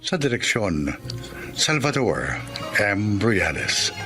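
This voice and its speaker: Slow, dramatic male radio announcer. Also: fairly low pitch, big pitch swings. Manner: solemn, deliberate. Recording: music clearly underneath.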